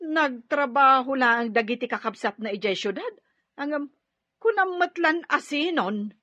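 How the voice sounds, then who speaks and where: A woman's voice: high-pitched, expressive, a little slow, sharp tone, no echo, highs cut off, full character voice. A woman acting a proud, quarrelsome village woman who scolds in a confrontational way in an Ilocano radio-style drama. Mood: scolding.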